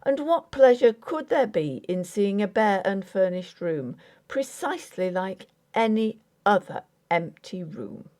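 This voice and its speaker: Lady doing a formal victorian husband, earnest, a bit condescending and impatient, mellow tone, audiobook drama. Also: medium pitch, big pitch swings, a little slow. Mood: placating. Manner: formal, placating, patronizing. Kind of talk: dramatic audiobook character acting.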